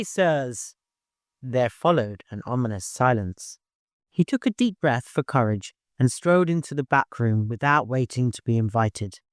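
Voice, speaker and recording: A man's voice: medium pitch, no echo, clean studio sound. A man reading scripted literary narration as an audiobook narrator.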